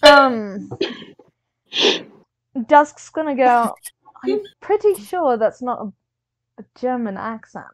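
A woman's voice: fairly high pitch, expressive, slow, pauses often, no room sound, poor audio. A woman playing a tabletop game, giggly and often laughing in casual banter.

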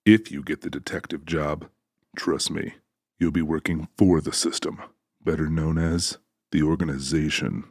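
An actor playing an easygoing, sassy trucker in an audiobook, folksy and teasing. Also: male voice, extremely low pitch, steady tone, normal speed, darkish tone, breathy edge, dry recording, studio quality, heavily acted. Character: easygoing, sassy male trucker with a joking temper. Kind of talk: dramatized audiobook dialogue.

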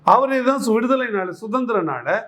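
A man's voice: very high voice, sing-song, normal speed, a bit bright. A man giving political commentary in an interview, arguing emphatically, repeating phrases and posing rhetorical questions.

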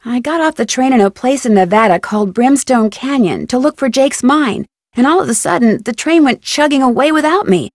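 A woman playing a calm, sensible young American detective in a video game, explaining things in a clear-headed way. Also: high voice, fairly quick, hardly any pauses, dry recording, clean studio sound. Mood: calm, informative. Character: composed, sensible young female amateur detective. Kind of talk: scripted video game voice acting.

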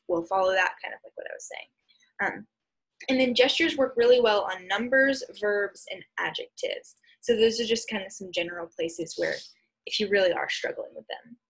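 A young American woman with a husky, slightly breathy voice teaches informally. She explains in a hesitant way with trailing asides, at a middle pitch. It is recorded on a computer mic in a small room at home. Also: a bit bright, low-quality recording. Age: young adult. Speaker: speech and debate instructor. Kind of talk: informal slide presentation. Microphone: computer mic on a video call.

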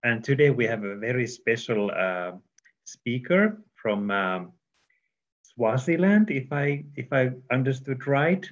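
A man moderating an online forum and introducing a speaker, with hesitant, halting phrasing over dull video call audio. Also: medium pitch, sing-song.

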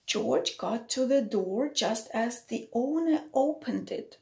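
A woman's voice: fairly high pitch, animated, slow pace, vocal fry, mellow. A middle-aged woman reading a picture book aloud to young children, explaining it simply and putting questions to the listeners. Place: small plain room indoors.

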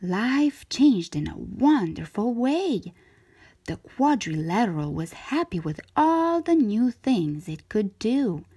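Slow, animated woman reading a storybook. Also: on the high side, slightly rough. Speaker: children's storytime host reading a picture book aloud. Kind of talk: expressive scripted storybook narration for young children.

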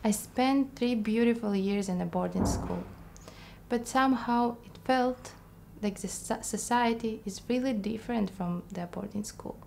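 A young woman with a Russian accent speaks in an earnest, reflective way, now and then pausing to find her words. Her voice is mellow and dark with a gravelly edge of fry. She sits in a quiet indoor studio. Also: a little high, slight background noise. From Kazakhstan. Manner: earnest, reflective, slightly halting. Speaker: immigrant counselor. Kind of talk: personal testimony to camera.

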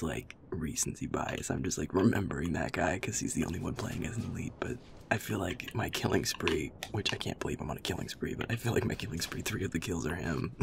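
A man's voice: sits low, vocal fry. A young man chatting casually as he plays a game, muttering, swearing and putting himself down. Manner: self-deprecating, muttering, often swearing. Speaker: gamer, audio creator. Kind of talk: casual chatter while playing a shooter game. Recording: noisy room with controller clicking. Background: game controller clicks.

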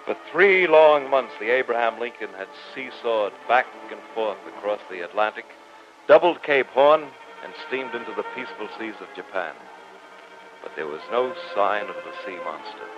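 An actor playing an earnest, formal professor who narrates a sea adventure in old-time radio drama style. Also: male voice, lowish voice, animated, normal speed, lightly acted. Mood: grave narrating. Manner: formal, theatrical, dramatic delivery. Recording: muffled old radio recording, music underneath.

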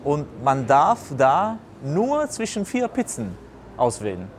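Italian man speaking halting German as second language. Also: a little high, wildly swooping pitch. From Basilicata, southern Italy. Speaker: restaurant operator, interviewee. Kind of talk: unscripted interview answers. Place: indoors in a restaurant bar. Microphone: lapel mic. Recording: clear background noise, restaurant ambience.

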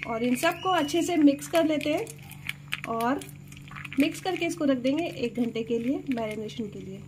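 High-voiced woman hosting a home cooking video. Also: animated, normal speed. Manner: chatty, explains each step to viewers. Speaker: cooking channel host. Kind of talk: step-by-step cooking demonstration. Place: home kitchen. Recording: noisy home recording.

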